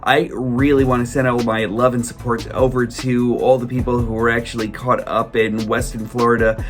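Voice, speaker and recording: A man talking casually to his viewers in a vlog, hoarse from a cold, rambling with lots of fillers and restarts. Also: mid-range voice, flat delivery, moderate pace, faint music behind. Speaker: toy collector vlogger. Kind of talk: casual solo vlog chat.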